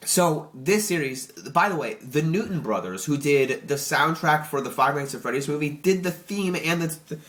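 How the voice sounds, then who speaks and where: A young man with a stuffy, slightly nasal voice from allergies, rambling excitedly through a casual review with many restarts. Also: fairly high pitch. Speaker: YouTube reviewer.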